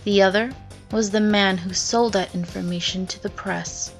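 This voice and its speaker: Game fandub role: woman as grave, resolute lady attorney, mid-pitched, measured narration over music. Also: steady tone, natural voice. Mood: solemn, resolute. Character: solemn, determined female lawyer reading her written record. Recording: music behind the voice.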